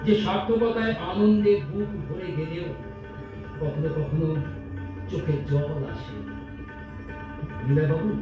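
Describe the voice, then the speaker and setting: Man acting anguished high-pitched boss, echoey hall. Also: steady tone, over music. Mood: moved, joyful. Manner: emotional, earnest, dramatic pauses. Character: troubled, soft-hearted company managing director, a father torn by conscience. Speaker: amateur stage actor in an audio drama competition. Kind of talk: staged Bengali audio drama acting. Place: multipurpose hall stage. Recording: echoey hall, distant mic, dull sound.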